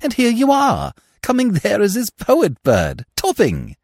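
A man acting a young, dim, upper-class English gentleman from a storybook. He is excitable and flustered, pitched high and swooping wildly as he blurts out old-fashioned slang, anxious and eager. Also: unhurried, vocal fry, no room sound, clean studio sound, heavily acted. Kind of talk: audiobook character voice.

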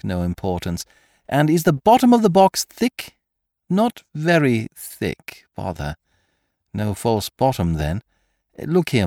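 Man voicing an excitable, taunting gentleman thief, swooping pitch. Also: no echo, clean studio sound. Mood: gleeful, mocking. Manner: theatrical, taunting, rapid questioning. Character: triumphant, mocking gentleman thief, excitable and commanding. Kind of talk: dramatised audiobook character voice.

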